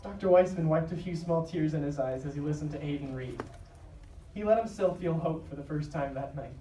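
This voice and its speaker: A woman's voice: deep voice, a little fast, warm tone. A woman narrator reading a scripted dramatic story live. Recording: echoey live stage, distant noisy mic.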